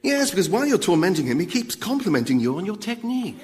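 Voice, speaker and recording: Reverberant, needy demon. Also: male voice, sits high, very animated, quick pace, heavy background noise. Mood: chirpy. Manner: cheerily matter-of-fact, self-pitying. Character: chatty, needy, dim-witted, polite demon torturer. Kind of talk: radio sitcom acting. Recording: long hall-like reverb.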